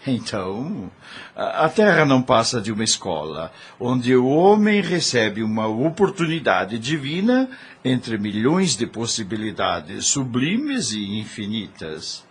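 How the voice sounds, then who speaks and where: An actor playing an earnest, humbled gentleman in an audio drama, with a husky voice and formal, ponderous delivery. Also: mid-range voice, very animated, measured pace. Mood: astonished, moved.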